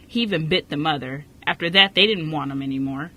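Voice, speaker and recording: A woman's voice: sits low, brisk, bright, forceful tone. A woman playing a stern, disapproving orphanage director in an amateur radio play, formal and blunt.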